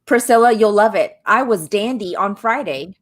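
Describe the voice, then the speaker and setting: A woman's voice: mid-range voice, lively intonation, normal speed. A woman co-hosting a casual web chat show, telling a funny story and laughing through it. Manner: chatty, laughs through her stories. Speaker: co-host.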